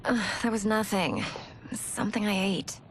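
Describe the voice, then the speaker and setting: A woman's voice: medium pitch, normal speed, slight background noise, lightly acted, rough recording. A woman playing a troubled, defensive young princess and heir in a video game drama, speaking earnestly. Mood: uneasy.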